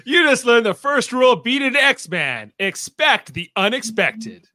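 A commanding superhero team leader, played by a man, pitched high and swooping in range. The delivery is bossy and measured, in live radio-play style. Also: wildly swooping pitch, sharp tone, dry recording, heavily acted. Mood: smug.

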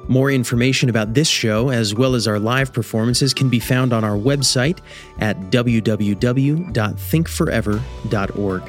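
A man's voice: medium pitch, normal speed, soft background music. A man reading scripted story narration as the narrator of an audio drama.

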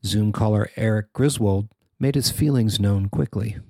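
Male reporter, scripted narration, husky and creaky, close dry sound. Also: lowish voice, normal speed, a little mellow, dry recording. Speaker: reporter narrating a news story.

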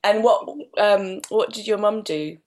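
A woman's voice: mid-range voice, unhurried, a little mellow. A woman hosting a podcast, chatting with a guest in a gushing, effusive way. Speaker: podcast host. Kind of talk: casual interview chat.

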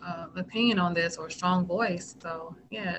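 A woman guest on a podcast interview who has a naturally soft voice and speaks gently. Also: lowish voice, steady tone, normal speed, background noise. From United States. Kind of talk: casual interview chat.

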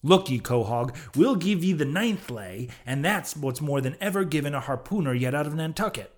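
A man voicing a gruff, blustering old whaling captain in an audiobook, loud, hearty and commanding. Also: fairly high pitch, expressive, moderate pace, natural voice. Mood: urgent, commanding. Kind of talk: audiobook character voice.

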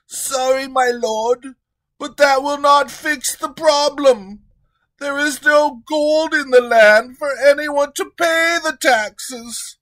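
A man's voice: very high-pitched, slow, pushed and bright, voice pushed up, full character voice, poor audio. A man voicing a meek, fussy royal treasurer delivering bad news. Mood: anxious.

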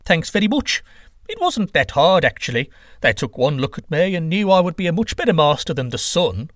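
A proud wind god who is quick to bluster, as a man acts him out. His voice rises high and loud when crossed. It is recorded close and dry. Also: sing-song, no echo. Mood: smug. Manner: smug, then blustering. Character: proud, hot-tempered male wind god.

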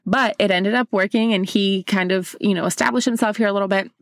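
A woman's voice: mid-range voice, steady tone, a little fast, no echo. A woman in her twenties, raised in America after a childhood in Bulgaria, hosting her own podcast in an upbeat, chatty way and calling the listener babe. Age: 20s. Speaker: podcast host. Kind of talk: casual solo podcast talk.

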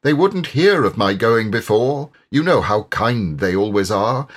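A man's voice: on the high side, pitched above the performer's own voice. A man voicing a kindly water rat for an audiobook, a grave and earnest friend full of concern. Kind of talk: audiobook character voice.

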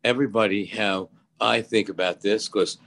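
A man in his seventies talks casually as an expert co-host, with a low, gravelly voice. He rambles along in a folksy, conversational way, offering advice. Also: steady tone, moderate pace, a bit bright, a little hiss or hum. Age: 70s. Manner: rambling, folksy, repeats "you know".

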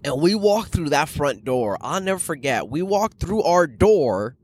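A man's voice: a little high, a little slow, no echo. A man in his thirties from Canada, hosting a casual solo podcast and telling stories in slangy, profane talk. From Canada, later Arizona. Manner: slangy, animated, profane, addressing listeners as guys. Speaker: podcast host and stand-up comedian.

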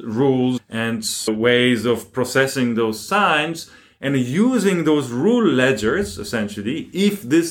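A man speaking as an academic guest in an interview, explaining things deliberately and with emphasis. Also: medium pitch.

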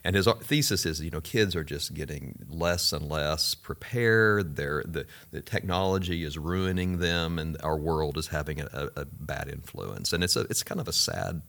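A man's voice: rumbling low, moderate pace, gravelly, fairly bright tone. A man hosting a conversational interview, thoughtful and affirming, prompting his guests. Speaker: podcast host.

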